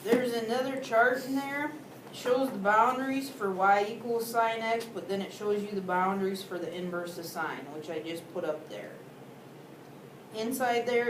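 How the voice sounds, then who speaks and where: A woman math teacher giving a slow, deliberate classroom lecture to students. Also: mid-range voice. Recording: echoey classroom, distant, noisy.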